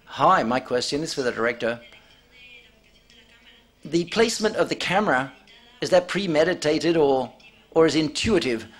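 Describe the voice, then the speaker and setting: Guy reading out formal questions, fairly high voice. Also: lively intonation, moderate pace, pauses often, fairly bright tone.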